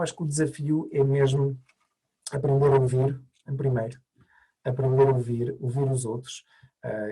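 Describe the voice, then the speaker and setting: A man's voice: medium pitch, mellow, husky. A man who learned Portuguese as an adult, by birth, answering interview questions thoughtfully with many hesitations and restarts. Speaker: mediator, interview guest.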